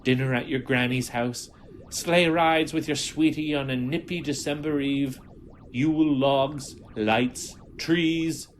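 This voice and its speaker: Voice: medium pitch, steady tone, slow, soft background music, natural voice. Pompous, theatrical Martian villain played by an actor. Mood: solemn, wistful. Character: indignant, grandiose Martian villain rebel with a ray gun. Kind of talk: audio drama acting.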